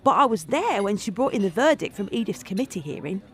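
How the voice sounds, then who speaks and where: A woman's voice: mid-range voice, very animated, fast, lightly acted. An actress playing a resentful, devoted woman confidante, delivering a scornful, confiding monologue in an audio drama. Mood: bitter recounting.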